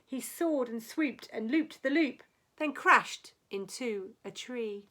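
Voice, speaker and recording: Slow, animated woman reading a storybook. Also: on the high side, slight background noise. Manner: dramatic, deliberate phrasing. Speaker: teacher reading aloud. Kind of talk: expressive children's storybook reading in rhyme.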